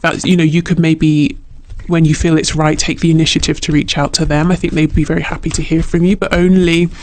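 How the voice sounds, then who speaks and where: A man's voice: on the high side, level tone, fairly quick, a little hiss or hum. A man giving an unscripted tarot reading straight to the listener, thinking aloud in a reflective way and repeating phrases as he goes. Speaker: tarot reader.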